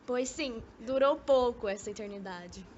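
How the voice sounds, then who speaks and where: A woman's voice: on the high side, measured pace, a little mellow, lightly acted. A young woman acting a haughty, bored, reluctant former lover in an amateur radio drama, with a Brazilian accent and petulant delivery. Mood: bored, sarcastic. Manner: petulant, disdainful. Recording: dull, noisy amateur recording.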